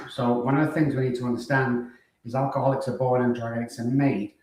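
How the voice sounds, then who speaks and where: A middle-aged man with a Manchester accent, a guest on a live online talk show, speaking briskly in lists with frequent fillers. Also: mid-range voice, flat delivery. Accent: Manchester English. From Manchester, England. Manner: brisk, listing, frequent uh fillers and throat clearing. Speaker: guest, addiction doctor. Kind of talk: casual live-stream interview. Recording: very echoey room.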